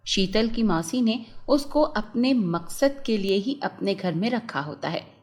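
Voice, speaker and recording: A woman narrating a children's cartoon story in an expressive storytelling manner. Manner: slow, lively-pitched storytelling.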